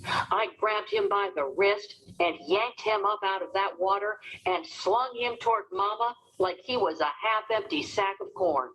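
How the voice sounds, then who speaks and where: A woman narrating a storybook as an expressive, dramatic read-aloud for children. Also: mid-range voice, a little slow, low-quality recording.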